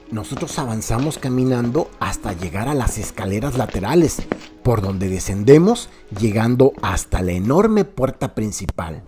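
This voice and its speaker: A man with a Mexican accent giving theatrical, descriptive scripted narration for a radio crime serial. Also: mid-range voice. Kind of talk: dramatized scripted narration, present-tense guided tour. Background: background music.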